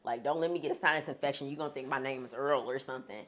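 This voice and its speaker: A woman speaking frankly as a guest in a sit-down interview, talking with humorous asides in a deep, husky voice. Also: rapid-fire, a bit bright. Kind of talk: candid sit-down conversation.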